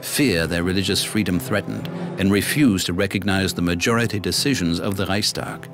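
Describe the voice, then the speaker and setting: A man reading scripted documentary narration in a measured, formal manner. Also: low voice. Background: music.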